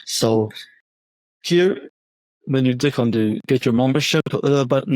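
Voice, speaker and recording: Hesitant young man demoing software. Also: mid-range voice, no echo, rough recording. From Croatia. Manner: hesitant, frequent restarts and fillers. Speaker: bootcamp graduate presenting project. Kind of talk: software demo walkthrough.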